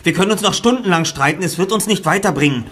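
An actor playing a sharp-minded young detective who reasons calmly and often drops to a hushed voice, in a radio play. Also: male voice, high voice, quick pace, husky, exaggerated voice. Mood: decisive.

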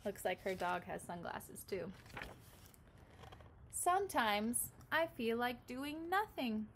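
A woman's voice: a little high, slow pace, slight background noise. Young woman reading aloud to small children. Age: 20s. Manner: simple, expressive explaining to small children. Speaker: pre-kindergarten teacher. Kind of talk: picture-book read-aloud with questions to young children. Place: small room at home, indoors.

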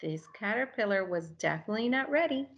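A woman in her thirties doing an animated storybook read-aloud for children, recorded indoors. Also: medium pitch, quick pace, highs cut off, noisy background.

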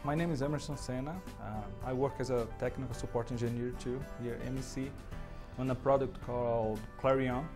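A man's voice: mid-range voice, fairly even intonation, a little fast, over music. A man from Brazil with a Brazilian Portuguese accent and Portuguese as his first language, talking about his support engineer job. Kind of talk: interview testimonial.

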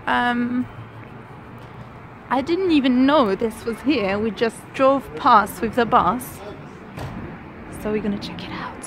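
A young woman with an excited, gushing manner, chatting casually as a vlogger and mother. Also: on the high side, slow, pauses often, slight background noise. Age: young adult. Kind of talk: casual home vlog chat, talking to camera and child.

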